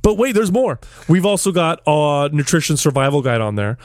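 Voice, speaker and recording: A man co-hosting a podcast, loud, profane and joking in casual banter. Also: a little high, sing-song, moderate pace, no echo, clean studio sound. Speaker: podcast co-host.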